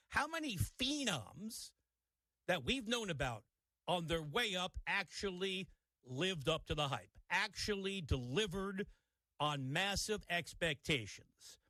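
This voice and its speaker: A man's voice: sits high, pushed and bright, no echo, rough recording. An older man hosting a sports talk radio show, giving an opinionated monologue with wry asides. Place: radio studio.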